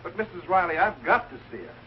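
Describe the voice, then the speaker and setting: A courteous young American college man, earnest and eager. His pitch runs a little high with lively swings. It is a muffled 1940s sitcom broadcast with faint hiss. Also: fast talker. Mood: pleading. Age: young adult. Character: earnest, polite young college suitor working as chauffeur.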